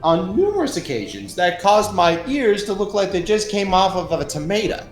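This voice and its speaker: A man's voice: high voice, big pitch swings, moderate pace. A man chatting casually on a stream, affectionate and teasing. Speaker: guest on stream. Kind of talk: casual chat. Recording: echoey room with faint music.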